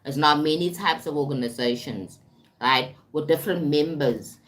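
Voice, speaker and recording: A woman from South Africa speaks in a low voice for a woman, talking loosely to viewers on a live stream. She is a spiritual coach who wanders through her points and circles back to them. She keeps tagging her sentences with "right?" and "you understand?". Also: moderate pace, a bit bright, a little hiss or hum. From South Africa (Durban). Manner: rambling and repetitive, often asks "right?" and "you understand?". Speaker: spiritual and life coach, tarot reader.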